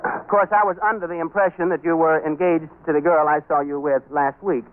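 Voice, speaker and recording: A man's voice: fairly high pitch, fairly even intonation, a little fast, background noise, lightly acted. A man playing a patronizing straight man in 1930s radio comedy crosstalk, formal and wordy with polite hesitations. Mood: politely probing. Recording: tinny, band-limited 1930s radio recording.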